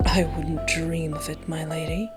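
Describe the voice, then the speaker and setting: Woman putting on a soft-spoken, low, courtly gentleman suitor. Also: flat delivery, measured pace. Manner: soft, formal, teasing. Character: smooth, courtly male wish-granter, flirtatious suitor. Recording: music behind.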